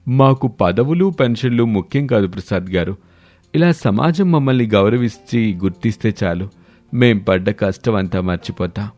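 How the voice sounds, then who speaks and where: A man's voice: fairly low pitch, animated, moderate pace, a little mellow, dry recording, soft background music, close to an everyday voice. A man playing a dignified, patriotic old army veteran, solemn and earnest, in a moral story. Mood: proud, earnest.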